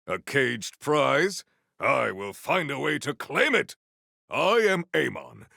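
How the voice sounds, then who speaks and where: An actor playing a solemn, valiant warrior hero in a video game, speaking in formal, archaic, grandiose phrases. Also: male voice, medium pitch, sing-song, slow, vocal fry, sharp tone, no room sound, studio quality, full character voice. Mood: proud, earnest. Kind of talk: theatrical video game character lines.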